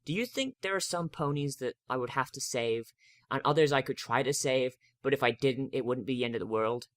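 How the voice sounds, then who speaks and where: A man's voice: mid-range voice, brisk, no room sound, studio quality, natural voice. A man acts out a bookish young unicorn mare from a fantasy story. The character talks earnestly and hesitantly, worried and doubting herself.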